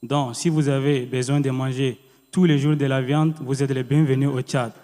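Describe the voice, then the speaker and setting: A young man gives a proud, lively welcome speech as a student at a graduation. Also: medium pitch, normal speed. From Chad. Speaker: student speaker. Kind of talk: formal ceremonial welcome speech. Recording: reverberant hall.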